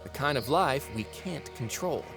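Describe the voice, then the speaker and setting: Casting: confiding, ominous male narrator, medium pitch, theatrical relish, audio drama with clear music underneath. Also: lively intonation, a little slow. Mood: sly, ominous. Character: sly, conspiratorial male storyteller with ominous relish.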